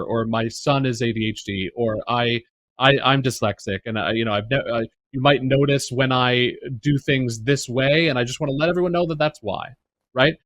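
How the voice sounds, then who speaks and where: A man's voice: mid-range voice, a bit bright, no room sound. Earnest man in a dry room. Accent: American. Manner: earnest, many fillers and restarts. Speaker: podcast guest, neurodiversity advocate. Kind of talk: casual interview conversation.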